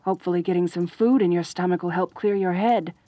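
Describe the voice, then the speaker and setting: A woman's voice: fairly low pitch, steady tone, fast, dark tone, dry recording, lightly acted. A woman voicing a lonely, possessive but caring centaur girl in a roleplay audio drama, speaking in a hesitant, stammering, earnest way. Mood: earnest, wounded. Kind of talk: roleplay ASMR audio drama, intimate one-sided dialogue.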